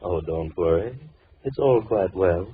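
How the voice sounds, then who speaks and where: A cruel, mock-tender captor in 1940s horror radio. His voice is low, his politeness sinister and patient as he toys with his victims. The sound is a tinny, narrow old broadcast. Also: animated, a little slow, a little hiss or hum. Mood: mock-tender menace. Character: menacing older man toying cruelly with captives. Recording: tinny vintage radio recording.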